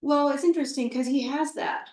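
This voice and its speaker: A woman speaks off the microphone in a roomy, echoing event space, her voice sitting back in the room. She answers interview questions at a live book talk in a thoughtful, halting way, full of fillers and restarts. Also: high voice, steady tone, darkish tone. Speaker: literary translator, interview guest. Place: event room at a literary center. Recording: roomy echo, voice from far off the mic.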